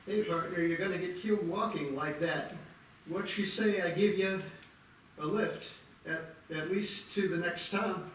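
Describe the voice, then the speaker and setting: A man's voice: sits high, measured pace, a bit bright, a little hiss or hum. A man playing a brash, blunt truck driver in a horror screenplay table read, slangy and confrontational. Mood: offering, blunt. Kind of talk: amateur table-read acting. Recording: very echoey, distant, music behind.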